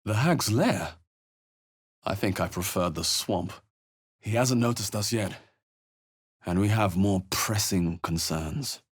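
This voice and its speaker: An actor playing a wry, wary adventurer hero in a fantasy video game, speaking in a measured, understated, dry way. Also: male voice, lowish voice, animated, slightly rough, heavily acted. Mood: measured, resolute. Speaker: video game player character voice. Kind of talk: scripted fantasy game exploration barks.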